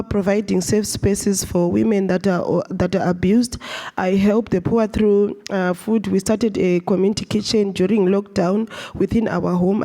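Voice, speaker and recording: A woman's voice: medium pitch, dark tone. A woman from Zimbabwe giving an earnest personal talk on stage at a live event, often restarting her sentences. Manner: earnest, with frequent restarts and fillers. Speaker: speaker at a live storytelling event.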